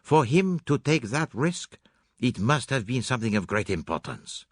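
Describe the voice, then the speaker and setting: A man voicing an excitable, theatrical foreign detective, emphatic and dramatic, in an audiobook. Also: mid-range voice, normal speed, breathy edge, no echo, studio quality, pitched above the performer's own voice. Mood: intent. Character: excitable, theatrical foreign male detective, fastidious and self-reproaching.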